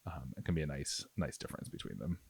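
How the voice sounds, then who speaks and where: A man's voice: rumbling low, lively intonation, quick pace, creaky, breathy edge, no room sound. A man narrating a hands-on hobby tutorial in a casual, explanatory, easygoing way. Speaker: miniature painting tutorial host. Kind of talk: casual instructional narration over demonstration.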